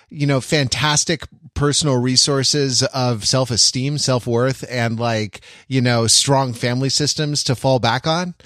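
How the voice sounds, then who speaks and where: A man's voice: medium pitch, fairly bright tone, dry recording, clean studio sound. A man hosting a casual podcast discussion in a reflective, wry way, restating phrases as he feels his way through a thought. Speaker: podcast host.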